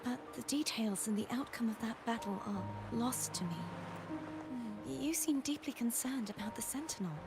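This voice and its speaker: A woman's voice: on the high side, moderate pace, lightly acted. An actress playing a solemn, searching warrior woman in a video game, speaking formally and earnestly. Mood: pensive. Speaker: game character voice actor. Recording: music behind the voice. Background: music.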